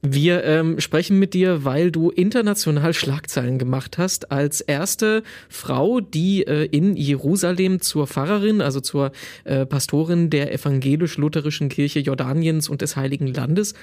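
Slightly high-voiced male podcast host. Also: normal speed, a little mellow. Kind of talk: conversational interview.

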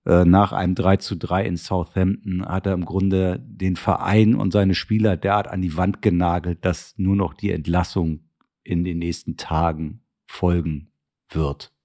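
A man's voice: sits low, level tone, a little slow, darkish tone. A man hosting a podcast, casual and opinionated in conversation. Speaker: podcast host.

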